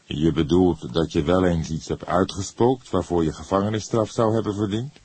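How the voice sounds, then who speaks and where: A man playing a calm older police detective who questions in a measured, probing way in an audiobook. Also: deep voice, slightly rough, no room sound. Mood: probing, wry. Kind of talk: acted audiobook dialogue.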